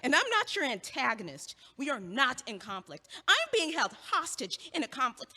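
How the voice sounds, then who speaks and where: A woman's voice: high-pitched, normal speed, heavily acted. A young actress playing a blunt, impatient student who teases and bosses her neighbor around in an audio play. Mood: exasperated. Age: young adult. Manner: teasing, bossy, lively. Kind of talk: stage audio play acting. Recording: long hall reverb.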